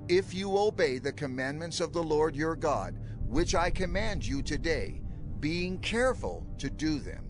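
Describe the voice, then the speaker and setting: A man plays a solemn old prophet addressing a whole people in a dramatized scripture recording. His voice rides a lively, swooping melody in a slightly raised register. Music plays steadily behind him. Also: on the high side. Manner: grave, declaiming, rhythmic cadence. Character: aged male prophet warning his people, solemn and stern. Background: music underscore.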